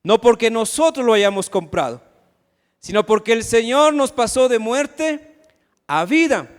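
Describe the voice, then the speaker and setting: A pastor with a high, smooth and resonant voice preaches, often asking his listeners questions directly. His voice carries a long reverb through the church sanctuary. The delivery sounds like a live sermon. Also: animated, measured pace. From Ecuador. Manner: preaching with rhetorical questions and acted asides.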